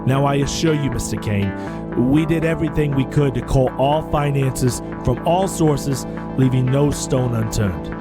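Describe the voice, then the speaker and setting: A man plays an anxious, deferential money man in an audio drama. He speaks in a formal, hesitant, apologetic way at an ordinary male pitch. Loud plucked guitar music plays behind him. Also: normal speed, lightly acted. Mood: nervous, grave. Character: anxious, deferential male finance man reporting bad news.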